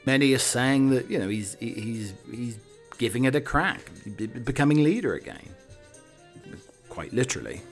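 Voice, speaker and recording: A man's voice: medium pitch, sing-song, normal speed, faint music behind. A man hosting a comic talk on the week's news, wry and digressive, with hesitant asides. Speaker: podcast host.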